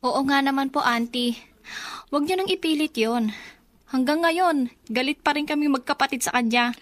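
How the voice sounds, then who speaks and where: A woman's voice: high-pitched, moderate pace, lightly acted. A Filipino woman playing a resentful, outspoken young niece in a radio drama, emphatic and indignant. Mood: firm.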